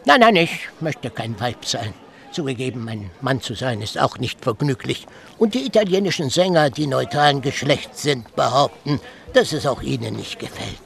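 A man's voice: mid-range voice, animated, moderate pace, gravelly, fairly bright tone. An actor playing a sly, gloomy male court jester who mocks the world in rhymes for a radio play. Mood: wry. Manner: mocking, rhyming, sing-song asides.

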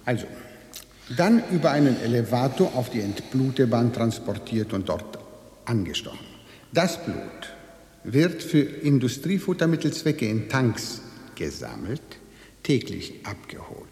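A man's voice: mid-range voice, sing-song, a little slow, darkish tone. A man acting in a radio drama as an evasive, officious slaughterhouse manager who guides a tour with pedantic precision and veiled menace. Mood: clinical, matter-of-fact. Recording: echoey hall with long reverb.

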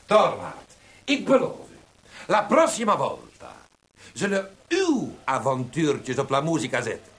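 A flamboyant Italian poet, wildly animated. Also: male voice, a little high, measured pace, slightly rough, full character voice. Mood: gleeful mockery. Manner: theatrical, mixing Italian exclamations into his talk. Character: flamboyant, teasing Italian poet and libertine abbé. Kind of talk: radio play acting. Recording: noisy archived radio play recording.

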